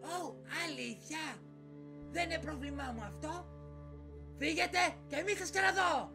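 A woman's voice: sits high, animated, gravelly, over music. A woman voicing a bitter, spiteful young villainess in a cartoon parody dub, scornful and bossy, stretching words for emphasis. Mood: bitter, resentful.

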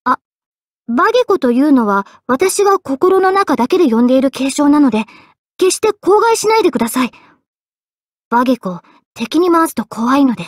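A woman playing an earnest, polite, quietly self-deprecating young female mage in expressive anime game voice lines. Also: sits high, normal speed, no echo, clean studio sound. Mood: grumbling, cheeky. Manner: polite, expressive, shifting between excited and subdued.

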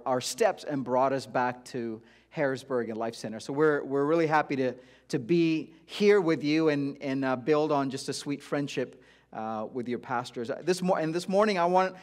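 A middle-aged man from India who grew up in Dubai, giving an informal sermon as a guest preacher in a conversational, halting way. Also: fairly high pitch. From India-born, grew up in Dubai. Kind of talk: informal sermon, personal testimony. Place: church auditorium stage, before a congregation.

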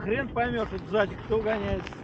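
A man with a very high voice sets the rules for the racers in a bossy, matter-of-fact way. He is heard from a distance outdoors on the ice. Wind noise and a dull, muffled sound fill the recording. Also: steady tone, fairly quick. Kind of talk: casual chat at an ice boat race. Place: outdoors on a frozen lake.

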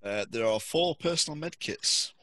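Bright-voiced man as game master. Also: a little high, animated, normal speed. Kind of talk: explaining game items and rules.

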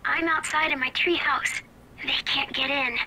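A woman's voice: high-pitched, steady tone, moderate pace, bright, forceful tone, a little hiss or hum, exaggerated voice, rough recording. A woman voicing a small, guarded eight-year-old girl in a video game, heard over a walkie-talkie. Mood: guarded, quiet.

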